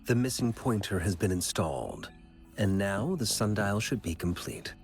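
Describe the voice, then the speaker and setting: A man's voice: on the low side, moderate pace, faint music behind, lightly acted. A man voicing an exacting, irritable researcher in a video game, brusque and sardonic. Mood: curt. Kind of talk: video game character dialogue.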